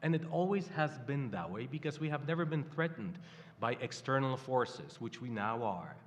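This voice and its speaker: An older man from Poland with a Polish accent, speaking haltingly as a guest at a live panel. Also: mid-range voice, animated. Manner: halting, many fillers and restarts. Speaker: campaign guest. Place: stage in a hall before a live audience. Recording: hall reverb.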